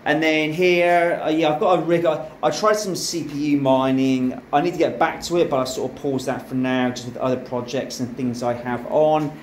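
A man's voice: fairly high pitch, fairly even intonation, moderate pace, hardly any pauses. An Australian man giving a casual, chatty walkthrough of his home mining setup, with plenty of fillers and restarts. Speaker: crypto mining channel host. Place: home room full of mining rigs, indoors. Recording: echoey room with faint fan hum.

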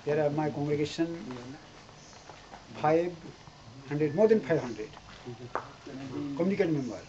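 A middle-aged man with an Indian accent, a pastor introducing himself and his church in halting, short phrases. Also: a little high, expressive, highs cut off, crowd noise. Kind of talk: simple introduction to camera. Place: outdoors in a church doorway. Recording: noisy outdoor camcorder, ambient sound.

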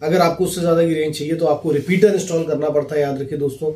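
A man's voice: on the high side, steady tone, racing. A man, giving a casual, explanatory product demonstration and slipping in English phrases. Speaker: product reviewer and seller. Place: small indoor office. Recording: roomy echo, faint background noise.

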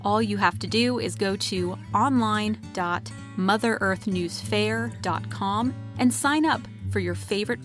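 A woman reads a scripted promo for the show in an upbeat, selling tone. Plucked guitar music plays clearly underneath her. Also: mid-range voice. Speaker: sponsor announcer.

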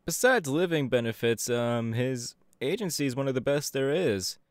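A man's voice: medium pitch, normal speed, dry recording, studio quality, lightly acted. A man playing an upbeat, easygoing young electric superhero in a casual, chatty audio roleplay. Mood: friendly, chatty. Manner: casual, animated, hesitant with fillers. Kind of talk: audio roleplay, chatty anime character acting.

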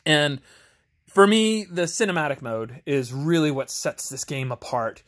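A man's voice: on the high side, a little slow, a bit bright. A man giving a casual, off-the-cuff game review as an amateur host, rambling, enthusiastic and self-deprecating. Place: home garage.

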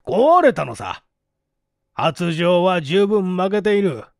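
A man voicing a proud, exasperated police detective in a storybook reading, with a groaning, drawn-out delivery. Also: high voice, very animated, vocal fry, no echo, studio quality, pitched above the performer's own voice, full character voice. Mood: scornful.

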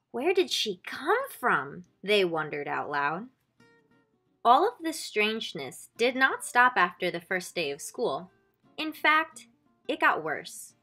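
A woman reading a children's picture book aloud expressively, drawing out key words with deliberate emphasis. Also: medium pitch, bright, forceful tone. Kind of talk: expressive storybook read-aloud.

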